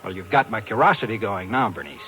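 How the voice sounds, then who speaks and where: An actor playing a brash, hard-boiled talent agent and narrator in a 1950s radio drama. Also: male voice, fairly low pitch, sing-song, fast talker, a bit bright, faint music behind, rough recording. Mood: persistent. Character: brash, persistent male New York talent agent.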